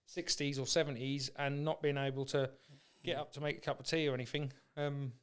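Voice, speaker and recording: A man's voice: mid-range voice, flat delivery, fairly quick, smooth. A man hosting a podcast in casual chat, with an informal, matey way of talking. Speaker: podcast host.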